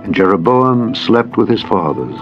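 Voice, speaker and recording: A man with a fairly low voice narrates scripture solemnly and formally. His phrasing is measured and deliberate. The recording is somewhat dull and lo-fi, with music playing behind him. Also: very animated. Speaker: Bible narrator. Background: background music.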